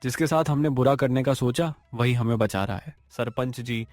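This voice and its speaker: A man's voice: mid-range voice, normal speed, darkish tone, no echo, soft background music, pitched above the performer's own voice, lightly acted. A man voicing a humbled, repentant village landowner in a cartoon story, contrite and grateful. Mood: remorseful.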